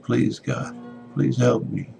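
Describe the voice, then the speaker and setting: A man voicing a tormented, helpless son in a dramatic story reading, pleading in a breathy whimper. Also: medium pitch, fairly even intonation, slow, dark tone, faint music behind, pitched above the performer's own voice. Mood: desperate pleading.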